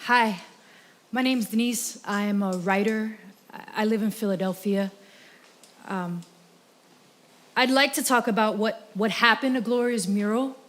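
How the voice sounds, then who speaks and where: A woman's voice: mid-range voice, unhurried, long pauses, fairly bright tone. A woman poet performing spoken word with rhythmic emphasis, and speaking casually as herself. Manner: rhythmic, emphatic poetry delivery. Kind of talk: spoken word poetry and stage monologue.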